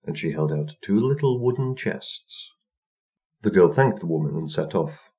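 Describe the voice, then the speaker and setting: A man's voice: deep voice, lively intonation, normal speed, warm tone. A man narrating a scripted folktale for children as a storyteller.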